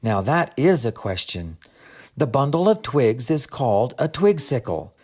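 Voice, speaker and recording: Man as patient storybook woman guide, expressive pitch. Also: unhurried. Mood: explaining. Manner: patient, explanatory. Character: Greta, friendly woman guide explaining her land's customs. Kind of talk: audiobook character dialogue.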